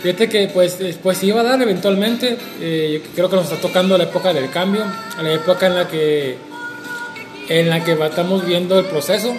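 A man's voice: sits high, steady tone, a little fast. A man co-hosting a casual panel discussion, hesitant and searching for words, with dry jokes. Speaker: co-host. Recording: echoey room with music behind.

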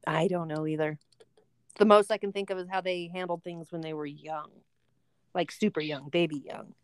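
Animated storytelling woman, dry close sound. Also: fairly low pitch, normal speed, clear, resonant tone, no room sound. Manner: animated storyteller, acts out voices. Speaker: podcast co-host and substitute teacher. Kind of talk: casual chat.

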